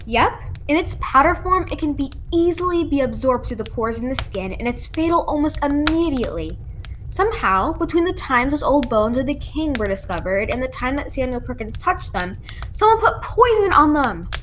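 Woman acting high-voiced, lively scientific doctor. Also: normal speed. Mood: explanatory. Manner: explanatory, matter-of-fact. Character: assured scientific doctor reporting lab results. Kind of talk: 1940s radio drama acting. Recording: faint background noise and room ambience.

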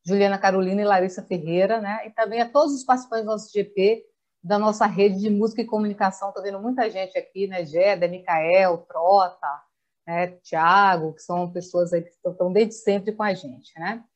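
A woman's voice: medium pitch, steady tone, brisk, darkish tone. A woman with a Brazilian accent informally introducing an online panel as moderator, often adding little tag questions. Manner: conversational, frequent tag questions.

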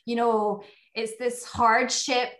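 A woman's voice: fairly high pitch, level tone, slow pace, a bit bright, roomy sound. A woman from Scotland with a Scottish accent, a guest reflecting thoughtfully in a casual interview, with frequent fillers and restarts.

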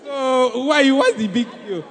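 A man's voice: extremely high pitch, measured pace, vocal fry, a faint crowd. A man with a Nigerian accent preaching and hosting a church youth event, exhorting the room and repeating phrases for emphasis. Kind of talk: animated youth sermon and stage hosting. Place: large indoor hall at a live event. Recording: reverberant large hall PA.

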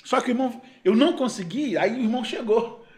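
A man who is a gospel singer telling a personal testimony in an animated way, acting out the dialogue and moving between loud and hushed. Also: extremely high pitch, quick pace, husky.

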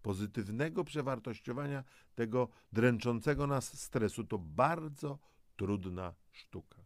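A man presenting educational health material in a measured, explanatory way, mixing scripted narration with conversational asides to the listener. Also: medium pitch, expressive, no echo, studio quality. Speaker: presenter of a health audio programme.

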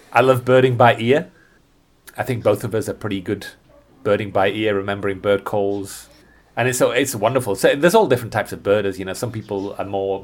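A man chatting casually as a birding guide on a podcast, thinking aloud and often rephrasing himself as he goes. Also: fairly low pitch.

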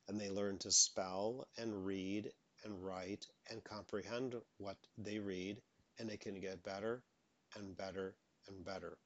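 A man's voice: fairly low pitch, fairly bright tone. A man who stutters openly, giving motivational advice as a stuttering coach. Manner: stutters openly, repeats words.

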